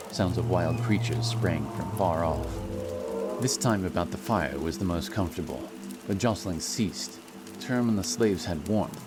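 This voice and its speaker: A man with a very low voice narrates a fantasy tale. His delivery is solemn and measured, with music clearly playing underneath. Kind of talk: scripted fantasy audiobook narration. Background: music and rain sound effects.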